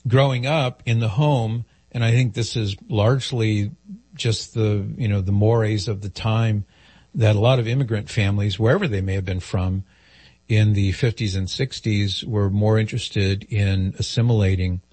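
Husky, chatty male radio host. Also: fairly low pitch, fairly even intonation, moderate pace, darkish tone, dry recording. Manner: chatty, laughs easily, thinks aloud. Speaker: radio talk show host. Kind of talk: casual radio talk, reading listener email.

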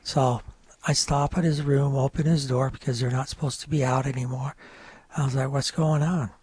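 An older man whose first language is French, telling his life story in a relaxed, rambling interview with many fillers. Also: mid-range voice, moderate pace, husky, no echo. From North Country of New York. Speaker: guest.

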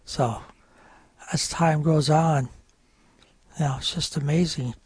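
An older man whose first language is French, from upstate New York, telling his life story as a radio guest in a halting, rambling way. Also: fairly high pitch, fairly even intonation, darkish tone, slightly rough, dry recording. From North Country of New York. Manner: rambling, halting, many uh fillers.

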